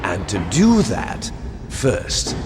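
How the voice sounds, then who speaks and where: A man's voice: fairly low pitch, huge pitch swings, very slow, noisy background, heavily acted. An actor playing a fanatical male rebel leader in a video game, declaiming dramatically with taunting menace. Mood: solemn, menacing. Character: theatrical male villain, fanatical rebel leader obsessed with genes. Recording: long hall reverb. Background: game music.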